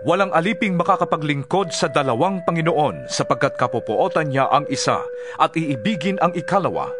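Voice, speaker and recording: A man acting as an earnest scripture teacher preaches to his followers with solemn, deliberate weight. His fairly high male voice rises and falls widely in a dramatized Bible reading, with music underneath. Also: expressive, lightly acted. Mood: solemn warning.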